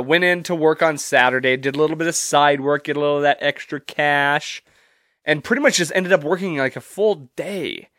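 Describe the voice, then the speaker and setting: A man's voice: fairly high pitch, a bit bright, no echo, studio quality. A young man hosting a solo podcast, stuffy and congested from allergies, talking casually and rambling with loose, profane humor. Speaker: podcast host.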